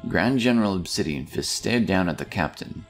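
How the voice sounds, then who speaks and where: A man reading a scripted fantasy story as an audiobook narrator, in a dramatic, deliberate manner. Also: low-pitched, lively intonation, soft background music.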